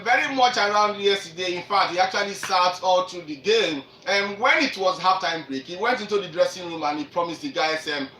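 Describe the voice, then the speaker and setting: High, bright-voiced Nigerian male radio sports host. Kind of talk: live sports discussion introducing interview clips. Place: echoey room, radio show. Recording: roomy, distant mic, faint noise.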